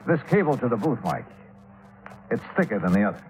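Man acting a sharp radio detective, animated American delivery. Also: medium pitch, brisk, natural voice, low-quality recording. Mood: probing, assured. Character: sharp, determined male insurance investigator solving a murder. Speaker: radio drama lead. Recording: vintage 1950s radio broadcast recording.

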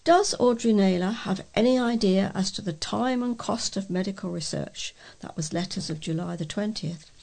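A British woman reading readers' letters aloud as a volunteer local newsreader, with a measured delivery and the occasional stumble. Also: mid-range voice, gravelly, a little mellow.

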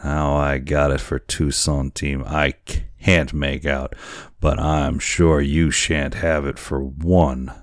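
Man voicing a very deep, blustering drunken sailor. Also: little pitch movement, slow, no echo, studio quality. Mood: drunken, defiant. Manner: blustering, colloquial, defiant. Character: brash drunken whaler boatswain, suspicious and threatening sailor.

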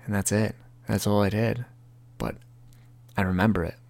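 Young male podcast host, gravelly, low-pitched, earnest and confessional, reads his own writing. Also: steady tone, moderate pace, darkish tone. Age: 20s. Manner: earnest, confessional, heavy language. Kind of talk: reflective monologue reading from his book.

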